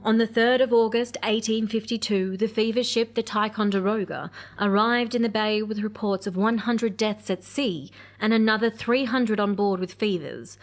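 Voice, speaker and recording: A woman giving clear, informative, scripted historical narration in a radio play series. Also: medium pitch, normal speed, hardly any pauses, soft background music.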